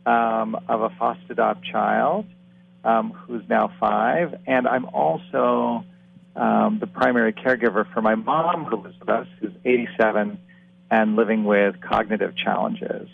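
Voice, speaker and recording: A man introducing himself thoughtfully on a panel, pausing with frequent ums, heard over a phone line. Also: fairly low pitch, steady tone, vocal fry, slightly rough, no echo. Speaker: coach educator. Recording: tinny phone-line audio.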